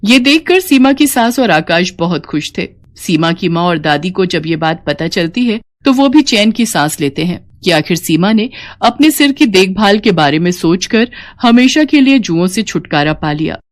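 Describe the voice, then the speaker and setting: A woman's voice: on the low side, expressive, moderate pace. A woman narrator reading a scripted moral story in a dramatic storytelling style.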